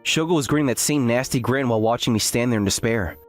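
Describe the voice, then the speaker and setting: A man's voice: mid-range voice, fast, soft background music, natural voice. A man playing an earnest, self-deprecating graduate student who narrates his story in an anime-style dub. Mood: despairing. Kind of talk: first-person scripted narration with acted dialogue.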